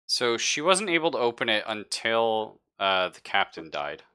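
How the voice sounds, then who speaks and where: Deep-voiced, bright-toned male game master. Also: big pitch swings, a little slow, clear, resonant tone. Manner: casual, profane, slangy. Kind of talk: improvised narration of a tabletop roleplaying game.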